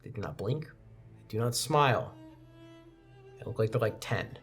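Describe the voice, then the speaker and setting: A man's voice: lowish voice, expressive, a little slow. A man narrating a tabletop roleplaying game as its game master. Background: faint background music.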